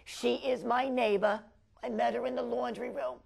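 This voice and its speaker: A high-pitched New York talk show hostess of middle age. She is sentimental and effusive, tipping into melodrama, in a broad comedy parody style peppered with Yiddish turns. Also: fairly even intonation, normal speed, vocal fry, a little mellow. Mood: gushing.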